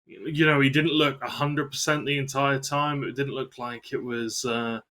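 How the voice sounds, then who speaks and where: A man's voice: medium pitch, steady tone, normal speed, bright, forceful tone. A man working as an esports co-commentator, analysing football video game matches during live commentary.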